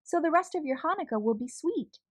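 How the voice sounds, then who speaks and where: A woman voicing an eager, excited little girl in a storybook read-aloud. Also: sits high, a little fast, pitched above the performer's own voice. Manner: breathless excited questions.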